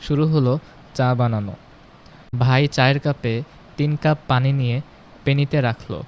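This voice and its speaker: Man narrating over clearly noisy background. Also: medium pitch, measured pace, a little mellow. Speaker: home cooking channel narrator. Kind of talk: scripted recipe storytelling voice-over.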